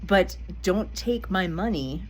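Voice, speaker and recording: A woman's voice: lowish voice, expressive, slow, a little mellow, low-quality recording. Indignant woman vlogger, blunt and profane. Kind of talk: casual commentary vlog.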